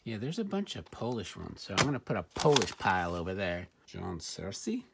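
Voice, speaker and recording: A middle-aged man talks off the cuff in a low, gravelly voice, dropping dry, muttered asides as he sorts through items. It is a very noisy home recording, with clicks from things being handled. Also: big pitch swings, fairly quick. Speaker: reseller showing thrift finds. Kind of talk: casual off-the-cuff unboxing commentary. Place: indoor room at home, at a table.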